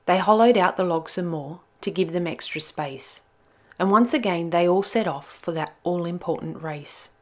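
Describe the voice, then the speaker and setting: A woman's voice: fairly low pitch, moderate pace. A woman storyteller reading a rhyming children's picture book aloud with expression.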